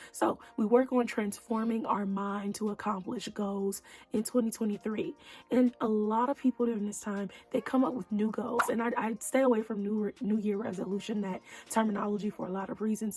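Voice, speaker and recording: A woman psychologist hosting her own channel, talking straight to her viewers in a casual, encouraging way, her voice a little hoarse. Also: mid-range voice, darkish tone. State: slightly hoarse. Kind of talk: casual talk to camera.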